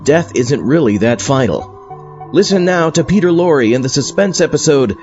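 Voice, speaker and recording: Exasperated horror-show host played by a man, animated comic audio drama delivery, slightly dull sound over music. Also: a little high, moderate pace, lightly acted. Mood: showmanlike. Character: exasperated party host introducing horror radio episodes. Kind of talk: scripted comedy audio drama.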